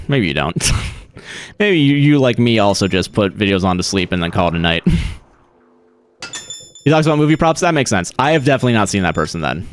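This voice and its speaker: Profane, laughing male game streamer. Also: fairly low pitch. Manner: profane, laughs often, chatty asides to chat. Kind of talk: casual live-stream chat while gaming.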